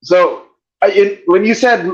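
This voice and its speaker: A man's voice: high voice, measured pace. A man hosting a podcast in casual chat, profane and rambling, joking as he goes. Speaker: podcast host. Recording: dull lo-fi sound.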